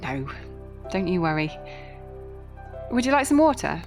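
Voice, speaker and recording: A woman's voice: on the low side, very animated, a little slow, over music. A woman playing a proper, kindly Victorian nurse in an audio drama, matter-of-fact and chatty. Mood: reassuring.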